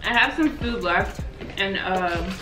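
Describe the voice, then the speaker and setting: A woman's voice: medium pitch, lively intonation, slow, roomy sound, music in the background, poor audio. A young woman in her twenties chatting casually while eating on camera in her living room, with lots of "like". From United States. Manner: chatty, eating between sentences, frequent "like". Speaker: YouTuber. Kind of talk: casual mukbang chat. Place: living room, seated on floor by sofa. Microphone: camera on tripod at a distance.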